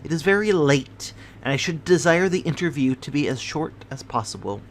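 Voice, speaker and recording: Man voicing a composed young lady, firm, polite, brisk, formal. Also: a little high, expressive, slight background noise, lightly acted.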